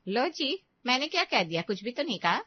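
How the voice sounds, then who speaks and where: Lady doing a cranky old granny, highish voice, scolding and sarcastic, storybook style, dull audio. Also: big pitch swings, fairly quick, breathy edge. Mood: defensive, indignant. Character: grumpy, spiteful old village grandmother.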